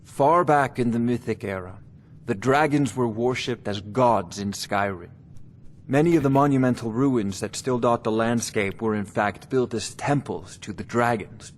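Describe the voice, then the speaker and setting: A man playing a haughty, scholarly court wizard in a fantasy video game, with a condescending, deliberate way of talking. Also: medium pitch, close to an everyday voice. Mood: lecturing. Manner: pompous, condescending, deliberate phrasing. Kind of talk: video game character dialogue.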